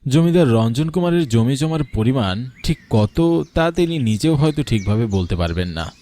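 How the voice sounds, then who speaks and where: A man's voice: medium pitch, lively intonation, normal speed, mellow. A man reading a scripted story as a dramatic narrator.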